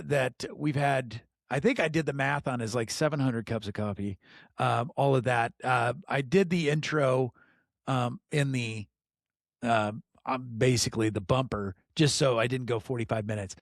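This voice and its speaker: A middle-aged man hosting a podcast in casual chat, enthusiastic, laughing often and filling pauses with lots of ums and uhs. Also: mid-range voice, vocal fry, dry recording, studio quality. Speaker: podcast host.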